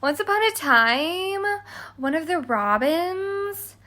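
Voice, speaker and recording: A woman voicing a dreamy, chatty young girl from a children's storybook, in an airy, drawn-out, sing-song way. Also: extremely high pitch, lively intonation, pushed and bright, voice pushed up, full character voice. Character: dreamy, chatty young Cinderella spinning animal tales.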